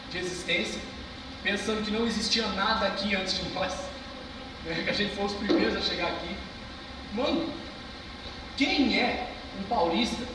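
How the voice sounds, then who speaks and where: Young man, são paulo interior accent, high voice, fervent slangy talk, distant echoey hall. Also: noisy background. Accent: São Paulo interior Brazilian Portuguese. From Brazil. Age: 20s. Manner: impassioned, emphatic, uses street slang. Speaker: speaker giving a talk. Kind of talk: passionate live talk to a small audience. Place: cultural center hall. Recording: echoey hall recorded from far off.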